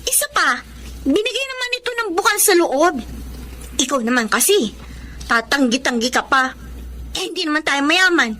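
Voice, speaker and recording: A Filipino actress playing a pert, bossy, money-minded young daughter in a Tagalog radio drama. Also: female voice, high voice, animated, normal speed, long pauses, pushed and bright, a little hiss or hum. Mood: chiding.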